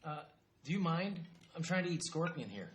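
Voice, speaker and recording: A man's voice: on the high side, sing-song, moderate pace, very noisy. A man playing a self-centred, evasive husband in a comedy film, wheedling and defensive. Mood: weary, dismissive. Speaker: film character.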